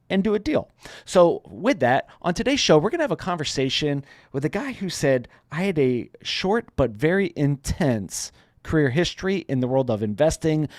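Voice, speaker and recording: A man hosting a podcast interview, greeting and questioning his guest in an energetic, playful way. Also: a little high, dry recording, studio quality. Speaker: podcast host.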